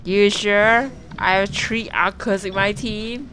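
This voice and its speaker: A woman's voice: medium pitch, steady tone, takes it slowly, fairly bright tone. Casual woman streamer over faint music. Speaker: livestreamer. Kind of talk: casual gameplay reactions. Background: game music.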